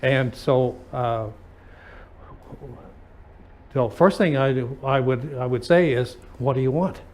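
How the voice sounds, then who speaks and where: A man talking conversationally in an aviation lesson, feeling out his phrases haltingly as he speaks. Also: mid-range voice.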